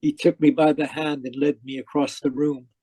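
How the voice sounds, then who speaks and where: A tormented dead man, played by an actor, tells his tale in old radio mystery style. His voice sits fairly high and very soft-toned, halting and dramatic as he pleads with the living. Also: little pitch movement, slightly rough, no echo, low-quality recording. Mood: haunted, weary. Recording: live video call performance.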